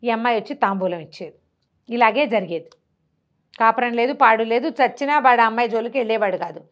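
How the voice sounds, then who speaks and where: A woman's voice: on the high side, brisk, lo-fi sound. Conversational woman storyteller telling a tale. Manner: conversational, asks rhetorical questions, acts out dialogue. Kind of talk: animated oral storytelling of a traditional tale.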